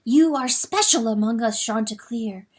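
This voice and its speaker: A woman's voice: fairly high pitch, unhurried. A woman voicing a loving, stubborn hen in distress, pleading and insistent, in a dramatic animal fantasy audiobook.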